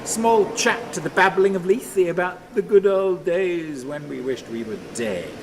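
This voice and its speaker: An actor playing a bitter, brooding man haunted by memories, rambling and halting in a radio play monologue. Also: high-pitched. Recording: noisy, steady ambient sound behind.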